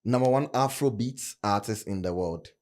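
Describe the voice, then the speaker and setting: A man's voice: fairly low pitch, normal speed, a little mellow. A man, a panel guest explaining his view firmly at length in a studio talk. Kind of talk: casual panel debate. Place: studio with woven cloth backdrop. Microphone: studio mic on a stand.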